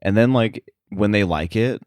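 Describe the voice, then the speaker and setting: A man speaking as an interview guest in casual chat, halting and informal, thinking his way through each phrase. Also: on the low side, a little mellow, dry recording, clean studio sound. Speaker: interview guest, sound editor.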